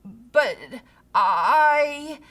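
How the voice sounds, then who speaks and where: A woman voicing a pushy, greedy, excitable young male pig in a children's storybook. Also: high voice, drawn-out words, voice pushed up, exaggerated voice. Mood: flustered. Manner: shrieking eagerness, pleading when thwarted.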